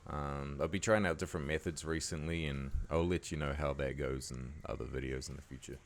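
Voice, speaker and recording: A man with a croaky voice giving a laid-back, casual editing tutorial, talking loosely with lots of fillers. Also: very deep voice, fairly even intonation, fairly quick. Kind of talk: casual screen-recording tutorial voice-over.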